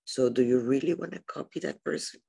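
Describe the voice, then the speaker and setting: A woman's voice: rumbling low, a little fast, creaky, dark tone, husky. A woman hosting a podcast, speaking in a reflective, plain-spoken way. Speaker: podcast host. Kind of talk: casual conversation.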